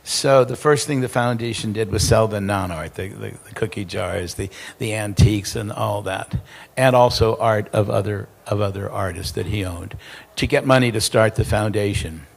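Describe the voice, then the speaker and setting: A man speaking on a conference panel, halting and repeating words as he explains. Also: on the low side, vocal fry. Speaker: foundation president. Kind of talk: panel discussion answer.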